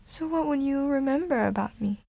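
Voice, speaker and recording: A woman's voice: sits high, animated, fairly quick, gravelly, mellow, dry recording. A woman acting a thoughtful young Hong Kong woman in conversational drama dialogue. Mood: reflective. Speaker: actor in a student play.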